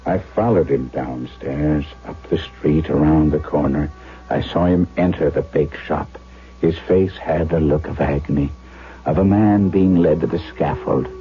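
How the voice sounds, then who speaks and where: An actor playing a kindly, earnest older German doctor in a 1970s radio drama, speaking in a deliberate, fatherly, storytelling manner. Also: rumbling low. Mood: grave, pained. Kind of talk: 1970s radio drama acting, first-person narration. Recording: muffled vintage radio broadcast, music underneath.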